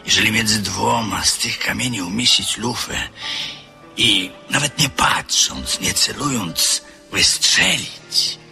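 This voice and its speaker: A gravelly, brooding older man. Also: on the low side, soft background music, heavily acted. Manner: slow, brooding, drops to hushed whispers. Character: older man Apolinary, brooding narrator of his own tale. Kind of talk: radio drama acting.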